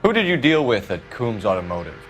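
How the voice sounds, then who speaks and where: An actor with an American accent playing a stern, principled young 1940s police detective who speaks in a clipped, authoritative way. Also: male voice, mid-range voice, sing-song, a little fast, faint music behind, natural voice. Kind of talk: dramatic game cutscene acting.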